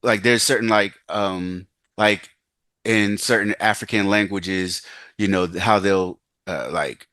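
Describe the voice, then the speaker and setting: A man hosting a conversational interview, speaking in a casual, halting way full of fillers. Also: fairly low pitch, a bit bright, no room sound. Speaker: host.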